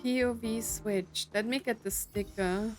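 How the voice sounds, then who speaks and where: A woman's voice: fairly high pitch, steady tone, unhurried, soft background music. A woman giving casual playthrough commentary as she reacts to a game's story. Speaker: streamer reacting to a game story.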